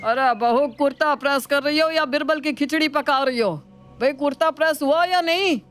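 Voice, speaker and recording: A man voicing a thrifty, easily exasperated older father-in-law in an animated moral story, with a scolding, exclamatory manner. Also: extremely high pitch, moderate pace. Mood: irritated.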